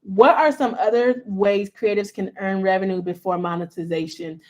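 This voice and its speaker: A woman hosts a relaxed podcast conversation, reading out listener questions in a playful, easygoing way. She sits at a middling pitch and sprinkles her talk with "um" and "you know". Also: normal speed. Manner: playful, reads listener questions, frequent "um" fillers. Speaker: podcast host. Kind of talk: casual podcast chat.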